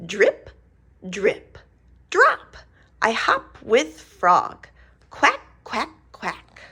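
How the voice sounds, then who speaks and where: A cheerful little duckling from a picture book bounces through the story, as a woman reads it aloud. Her voice swoops wildly in a sing-song way and climbs to squeaky highs on every quack. The reading is slow and a bit breathy. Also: wildly swooping pitch, bright, forceful tone, full character voice. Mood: playful.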